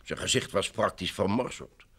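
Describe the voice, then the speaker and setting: An actor playing a polite, obliging, assured official in an old Dutch radio drama, with a formal, explanatory manner. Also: male voice, low-pitched, normal speed, a bit bright, husky, exaggerated voice. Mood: matter-of-fact.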